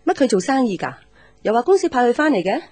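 A woman's voice: on the high side, very animated, fast talker, darkish tone, breathy edge. A woman playing a casual, caring middle-aged mother in a radio drama, speaking offhand, colloquial Hong Kong Cantonese. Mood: casual, curious.

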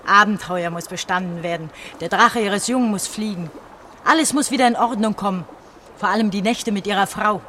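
A woman's voice: mid-range voice, fairly quick, fairly bright tone, slight background noise, natural voice. Actress as emotional, bitter fugitive woman. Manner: emotional, accusing. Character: frightened, bitter young woman fleeing through dark underground tunnels. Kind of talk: German radio play acting.

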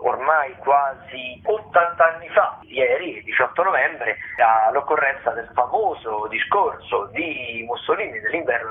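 A man, a theatre critic giving informal radio recommendations in a halting, digressive way. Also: medium pitch, a little hiss or hum. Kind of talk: informal spoken listings review. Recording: tinny narrow-band phone line.

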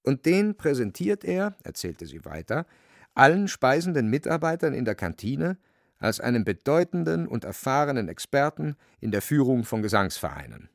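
A man's voice: mid-range voice, very animated, moderate pace, gravelly, no echo, clean studio sound. A man narrating a literary radio play from a script.

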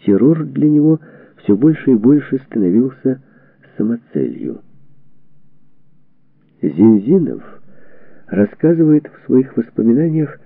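A man narrating a historical book from a script, with measured, deliberate phrasing and pauses. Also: mid-range voice, very animated, creaky, dry recording. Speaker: audiobook narrator. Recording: band-limited, tinny phone-like sound.

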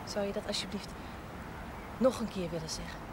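An actress playing a sincere, hesitant young woman in love in a television drama, simple and gently questioning. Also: medium pitch, a little fast, noisy background, natural voice. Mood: tender, sincere. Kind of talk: 1970s Dutch television drama acting.

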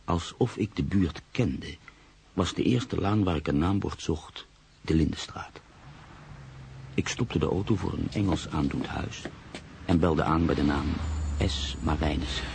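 A man playing a proud, brooding newspaper writer in a radio drama, with measured, literary delivery. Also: a bass voice, darkish tone, full character voice. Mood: reflective. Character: proud, brooding male newspaper writer, sceptical and easily unsettled. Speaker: actor. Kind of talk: radio drama acting, monologue and narration. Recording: radio play with clear background noise.

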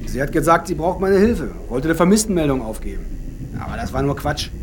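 A sceptical, defensive officer in a radio drama. His high voice moves expressively through blunt, offhand replies, over clear background noise. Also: big pitch swings, fairly quick. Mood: wary.

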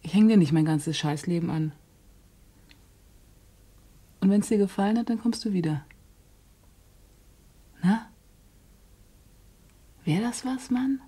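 A woman's voice: mid-range voice, warm tone, breathy edge. An actress playing a hard-edged streetwise woman who talks bluntly and coaxingly in slang. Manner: blunt slangy coaxing, slow, drops to a whisper. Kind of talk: radio play acting.

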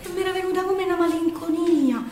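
A woman's voice: very high-pitched, fast talker, a little mellow, slight background noise. An actress playing a weary, earthy working-class Roman woman, telling her story in a colloquial theatrical monologue with a Roman accent. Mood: animated, wistful. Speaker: stage actress. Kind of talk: theatrical dialect monologue in verse. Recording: roomy, distant stage recording.

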